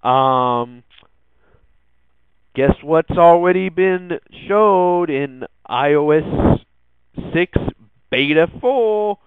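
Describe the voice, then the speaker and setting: A man hosting a podcast with casual tech news commentary, speaking haltingly and often restarting his words. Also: on the high side, animated, clear, resonant tone, dry recording. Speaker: podcast host. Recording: thin phone-like lo-fi audio.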